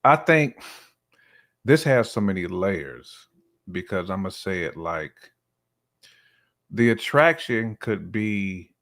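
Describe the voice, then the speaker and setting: A man with a deep voice chats casually as a podcast guest. His delivery is colloquial and emphatic, and he feels his way toward the right words. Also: a bit bright. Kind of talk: casual conversational chat.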